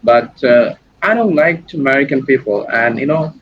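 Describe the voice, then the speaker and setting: A man's voice: medium pitch, animated, moderate pace, slightly rough. A young man from Bangladesh, a university student, speaking hesitant English on a video call. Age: young adult. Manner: hesitant, non-native English with restarts. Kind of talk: casual video call chat. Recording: dull lo-fi call audio with faint noise.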